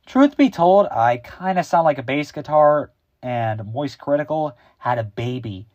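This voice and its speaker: A teenage male YouTuber putting on a high, energetic voice as if he were an animated character, his show-opening persona. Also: unhurried, no echo, dull audio. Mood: excitable. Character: hyper young male cartoon-style YouTuber persona. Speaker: YouTube host.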